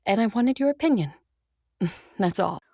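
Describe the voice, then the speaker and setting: A woman playing an ancient, imperious and guarded vampire ruler in an audio drama, speaking in a measured, wry way. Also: mid-range voice, animated, dark tone, no echo, studio quality. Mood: hesitant, wry. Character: imperious two-thousand-year-old female vampire ruler, wry, guarded. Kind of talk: audio drama acting, first-person narration and dialogue.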